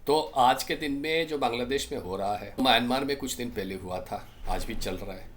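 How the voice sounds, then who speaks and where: A man with an Indian accent and a medium-pitched voice talks as a commentator in an informal, explanatory way. He hammers points home by repeating phrases and listing items. He often poses questions and answers them himself. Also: brisk, fairly bright tone. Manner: emphatic, repeats phrases and lists, asks rhetorical questions. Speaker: political commentator presenting a video. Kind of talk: informal explanatory monologue mixing Hindi and English.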